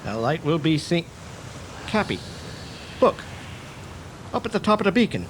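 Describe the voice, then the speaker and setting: An actor playing an easily spooked male lighthouse keeper's assistant in a radio drama, with a hesitant, halting manner. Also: on the high side, big pitch swings. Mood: unsettled, insistent. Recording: faint noise on old cassette.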